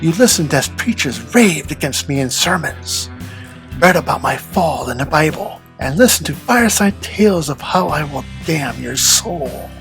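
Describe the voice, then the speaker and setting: A suave devil, acted out by a performer, speaks in measured, archaic phrases with a gravelly, creaky edge. The folktale menace is courtly and ominous, and music plays underneath. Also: medium pitch, lively intonation, a bit bright. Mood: smooth, menacing. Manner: formal, archaic, measured diction.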